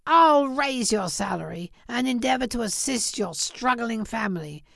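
Elderly storybook gentleman played by a woman, gruff turned giddy, emphatic, creaky and swooping. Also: on the high side, wildly swooping pitch, takes it slowly, no echo, clean studio sound, exaggerated voice. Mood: joyful, earnest. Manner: exuberant, emphatic, theatrical.

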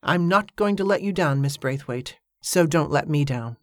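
Resolute widowed mother, steady formal delivery, low-ish woman's voice, read by the narrator. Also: moderate pace, dry recording, studio quality. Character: determined young widowed mother, daredevil.

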